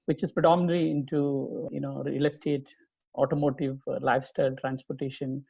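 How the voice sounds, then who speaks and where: A man in his fifties with an Indian accent speaks earnestly over a video call, pausing on lots of fillers. His voice is gravelly and a touch husky, slightly higher than most men's, and the audio is dry and dull. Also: little pitch movement, no echo. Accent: Indian English. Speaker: guest, chief information officer. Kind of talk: casual video call chat.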